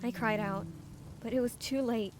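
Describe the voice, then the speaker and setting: A woman's voice: on the high side, steady tone, normal speed, a little mellow, a little hiss or hum, natural voice. A woman playing an emotional, impulsive young female fighter in a fantasy audiobook. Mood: sad. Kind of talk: dramatised audiobook, narration and acting.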